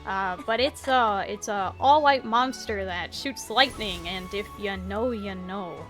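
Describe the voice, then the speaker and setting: A woman with a gravelly voice, chatting casually and a little self-deprecatingly while she plays a video game. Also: mid-range voice, unhurried. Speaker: gaming streamer. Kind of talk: casual commentary while playing. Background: game music.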